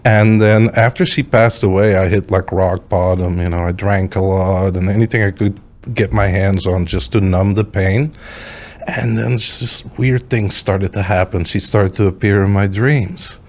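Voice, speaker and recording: Low-pitched middle-aged Belgian man. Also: fairly even intonation, a little slow, a little mellow. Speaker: podcast guest. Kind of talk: personal storytelling in conversation.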